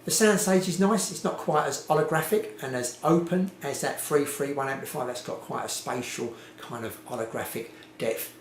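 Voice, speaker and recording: A man's voice: medium pitch, normal speed, few pauses, a little hiss or hum. A man giving an informal, unscripted hi-fi review in a rambling, repetitive way with lots of hedging. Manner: rambling, hedged, repetitive phrasing. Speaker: vintage hi-fi reviewer. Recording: roomy, echoey home recording.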